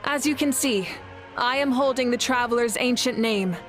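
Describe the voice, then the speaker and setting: A woman playing a commanding warrior leader in a video game, solemn and rallying, speaking with measured, dignified oratory. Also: fairly high pitch, faint music behind, natural voice. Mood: solemn, proud.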